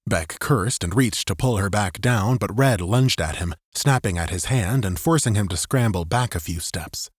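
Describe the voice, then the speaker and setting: A man's voice: on the low side, normal speed, hardly any pauses, breathy edge, dry recording, studio quality. A man narrating dramatic fiction as a scripted audiobook.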